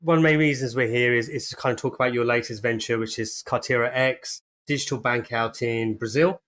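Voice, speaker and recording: A man's voice: medium pitch, steady tone, brisk, fairly bright tone. A male podcast host welcoming a guest and asking direct questions. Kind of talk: interview questions.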